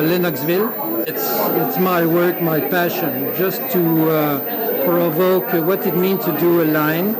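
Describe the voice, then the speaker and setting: A man, an artist describing his drawing, halting and searching for words in a reflective way. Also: fairly high pitch. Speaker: visual artist. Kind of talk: reflective interview about his art. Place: indoor exhibition hall. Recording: loud crowd chatter behind. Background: crowd of visitors talking.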